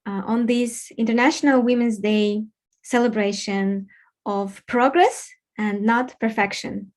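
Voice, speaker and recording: A young woman from Kazakhstan hosting an informal online event, speaking haltingly with pauses mid-sentence. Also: fairly high pitch, darkish tone. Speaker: event host and facilitator. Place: online video call.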